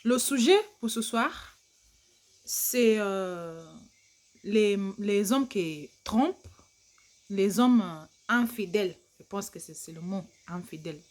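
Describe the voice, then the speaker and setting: A woman's voice: medium pitch, slow, darkish tone. A woman vlogger, a native English speaker, giving casual advice in broken French with an English-speaking accent, chatty and laughing easily. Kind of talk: casual advice vlog to camera.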